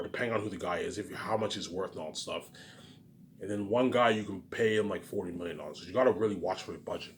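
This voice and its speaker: A man rambling in a casual monologue, repeating himself, jumping between loud bursts and mumbled trailing endings. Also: mid-range voice. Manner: repetitive, keeps saying "man" and "obviously". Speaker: vlogger.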